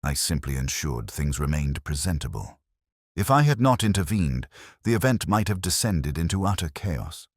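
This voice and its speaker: A man's voice: very deep voice, very animated, normal speed, dry recording, studio quality, full character voice. Man voicing a pompous, prim committee woman. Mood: indignant. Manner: pompous, formal. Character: prim, self-important committee woman, stiffly dignified.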